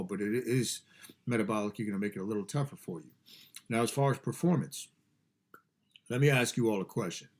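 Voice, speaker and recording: A man with a somewhat low voice, clogged and nasal from allergies, talks to his listeners from a garage workspace. He is casual and emphatic, restarting sentences and repeating phrases for weight. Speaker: podcast host and fasting coach. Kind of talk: casual motivational talk. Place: home garage studio.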